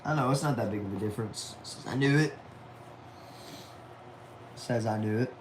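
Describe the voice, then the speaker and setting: A man's voice: lowish voice, normal speed, a little hiss or hum, rough recording. A man of about twenty chatting casually on a livestream at home, reading viewer messages aloud in a laid-back, talkative way, dropping "y'all" and "man". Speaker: livestream host.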